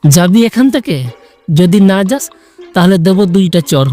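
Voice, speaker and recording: A man's voice: high-pitched, expressive, measured pace, mellow, soft background music. A man playing an irritable, curt young man in an audio story, scolding and exasperated. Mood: annoyed, threatening. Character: irritable young man pestered by his lovestruck cousin. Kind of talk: Bengali audio story acting.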